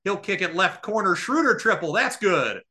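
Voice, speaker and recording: Bright, forceful male basketball play-by-play caller. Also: sits high, sing-song, normal speed. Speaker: podcast host doing play-by-play. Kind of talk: live basketball radio play-by-play commentary.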